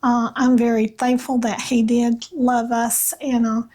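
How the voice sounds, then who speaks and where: A woman with a Southern US accent and a slightly raspy voice, chatting casually about faith in a gentle, halting way with pauses. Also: a little high, little pitch movement. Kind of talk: casual devotional chat with read-aloud passages.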